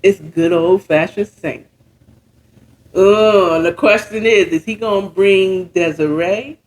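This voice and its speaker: A high-voiced American man tells a story informally, as a host recapping it with commentary. He takes his time, uses plenty of slang, and checks in with rhetorical questions as he goes. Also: slow pace, rough recording. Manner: acts out characters' dialogue. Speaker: host retelling and reacting to a story.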